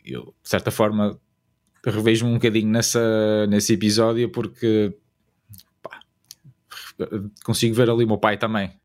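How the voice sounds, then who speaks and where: A man's voice: on the low side, level tone, moderate pace, long pauses. A man with a European Portuguese accent casually recalling something personal in an informal, hesitant way.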